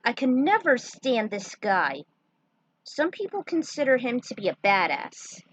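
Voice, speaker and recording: Lively, high-voiced woman narrator. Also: a little slow, no room sound. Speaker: fan fiction writer and narrator. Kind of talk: fan fiction reading with casual author commentary.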